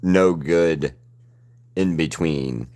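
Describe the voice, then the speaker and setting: A man's voice: a bass voice, extremely slow, darkish tone. A man in his thirties with a mild Southern US accent, chatting loosely and rambling while he paints live, cursing casually. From Southern United States. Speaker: artist streaming live.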